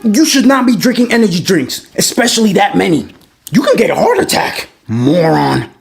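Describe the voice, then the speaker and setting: An exasperated parent played by a woman scolds with theatrical flair in a family comedy skit. The voice is gravelly and very animated in pitch, and music plays behind it. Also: normal speed. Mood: scolding, exasperated. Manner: dramatic, scolding. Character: exasperated, scolding parent arguing with a bratty kid.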